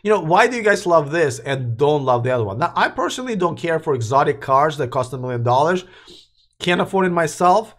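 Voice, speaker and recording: A man's voice: fairly high pitch, lively intonation, brisk. Man losing his voice, rambling. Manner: rambling, restarts, addresses live chat. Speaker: host of a live electric car news show. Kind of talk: casual live-stream commentary.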